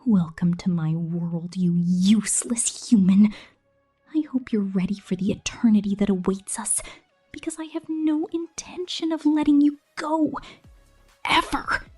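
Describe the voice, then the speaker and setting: A woman voicing a cruel, possessive killer robot captor in a menacing, taunting ASMR roleplay, mostly in a breathy whisper. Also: medium pitch, expressive, darkish tone, faint music behind. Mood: possessive menace. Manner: menacing, taunting, slow and deliberate.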